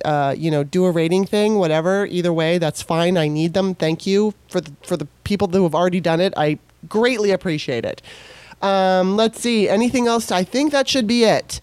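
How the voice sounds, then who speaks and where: A woman's voice: fairly low pitch, moderate pace, darkish tone, clean tone, dry recording. A woman hosting a podcast, chatting casually, rambling a little with many fillers and laughing easily. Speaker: podcast host. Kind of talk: casual interview chat.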